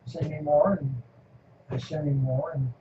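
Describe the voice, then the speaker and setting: An older man from California recalls his past in a halting, rambling way, chuckling as he goes. His voice sits a little high for a man. The recording is muffled and noisy, with a roomy echo. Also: fairly even intonation. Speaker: interviewee. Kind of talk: casual reminiscing. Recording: echoey room, muffled lo-fi, noisy.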